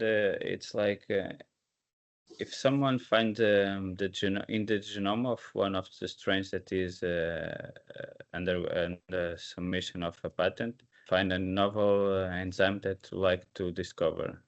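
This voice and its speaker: Deep, flat-voiced man moderating. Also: dull audio. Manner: halting, filler-heavy questioning. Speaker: workshop moderator.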